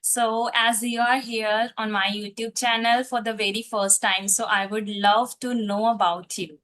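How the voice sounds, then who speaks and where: Brief: Indian English woman host, bright tone, somewhat high, polite and formal. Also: level tone, a little slow. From Punjab, India. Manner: formal, polite, a little careful. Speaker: host, spoken English teacher. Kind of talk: polite interview on a video call.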